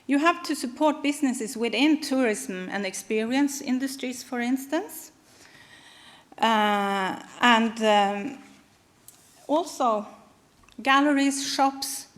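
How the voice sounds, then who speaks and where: Slow, halting woman giving a talk. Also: a little high. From Norway. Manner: slow, halting, many fillers. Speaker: conference speaker. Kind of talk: conference presentation. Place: conference hall.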